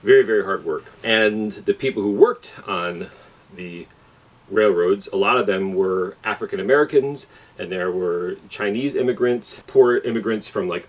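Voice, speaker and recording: A man's voice: low voice, far from the mic, poor audio. A man hosting a children's storytime, explaining things simply and often rephrasing or repeating for young listeners. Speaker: library storytime host and singer.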